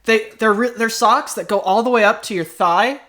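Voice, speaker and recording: Improv comedy podcast host, halting and playful. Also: mid-range voice, a bit bright.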